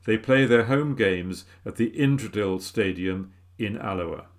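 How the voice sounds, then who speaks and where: A man reads newspaper pieces aloud at a steady, even pace. His voice sits a little low. He was recorded at home. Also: measured pace. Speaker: volunteer newspaper reader. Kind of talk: reading articles aloud. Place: home room.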